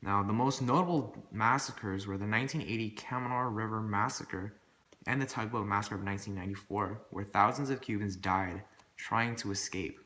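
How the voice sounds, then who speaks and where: Bright-voiced man reading news, echoey room. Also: on the low side, normal speed. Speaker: newsreader. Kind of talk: amateur scripted newsreel narration. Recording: echoey room with faint background noise.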